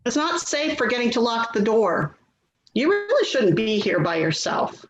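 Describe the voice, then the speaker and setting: A woman's voice: on the high side, very animated, moderate pace. A woman playing an exasperated, worrying adult daughter in a readers theater play, nagging and put-upon, recorded in an echoey room. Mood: exasperated, scolding. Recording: echoey room, dull sound, faint noise.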